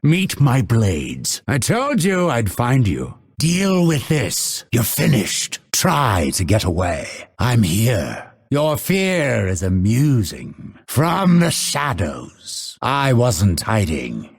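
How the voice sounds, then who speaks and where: A man voicing a vengeful exiled assassin in a video game, grim and proud, with a raspy, theatrical, ominous delivery. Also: medium pitch, wildly swooping pitch, takes it slowly, few pauses, heavily acted. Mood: quietly sinister. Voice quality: gravelly, raspy. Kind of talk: dramatic video game voice lines.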